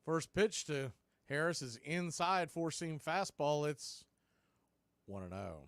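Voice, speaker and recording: A radio announcer calls a baseball game pitch by pitch, brisk and conversational as he keeps up with the count. His voice sits a touch high for a man. The sound is dry and close, with no room around it. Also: very animated, fairly bright tone, dry recording. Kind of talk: live baseball play-by-play commentary.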